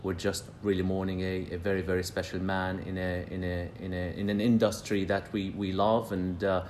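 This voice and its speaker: A man in his thirties from Palestine, a podcast guest talking casually and haltingly, with many fillers and restarts. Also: deep voice, level tone, a little mellow, a little hiss or hum. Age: 30s.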